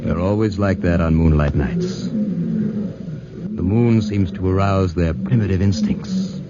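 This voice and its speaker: An actor playing a stern, menacing middle-aged big-game hunter in a 1940s radio drama, formal, imperious and brooding. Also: male voice, deep voice, sing-song, slow, full character voice. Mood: brooding, sinister. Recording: very noisy, muffled 1940s radio recording.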